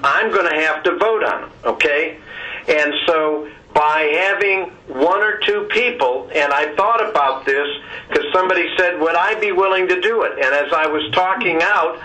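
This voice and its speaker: A man speaking as a board member in a conference call discussion, halting and repeating words, often tagging phrases with "okay". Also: on the high side, pushed and bright. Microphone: telephone. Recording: distant conference call line.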